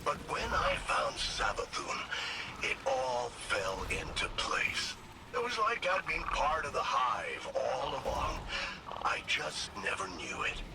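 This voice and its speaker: An actor playing a small machine companion in a video game, a misfit devotee who muses in a plain, confiding way. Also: male voice, medium pitch, huge pitch swings, takes it slowly, creaky, over loud music, heavily acted, low-quality recording. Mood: wistful. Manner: musing, plain-spoken, confiding. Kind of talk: video game character monologue. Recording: loud ambient noise behind the voice. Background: loud ambience.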